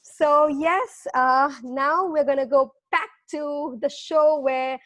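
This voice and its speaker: A woman's voice: high-pitched, fairly even intonation, slow pace, a bit bright, dry recording. A woman from India co-hosting a casual chat show, bubbly and eager as she asks questions. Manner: bubbly, eager interviewer with fillers. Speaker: co-host.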